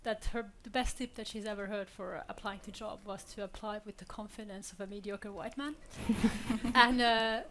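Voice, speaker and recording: A woman's voice: medium pitch, fairly even intonation, normal speed, few pauses, background noise. A young woman from Switzerland speaking candidly on a panel, laughing often between points. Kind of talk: panel discussion.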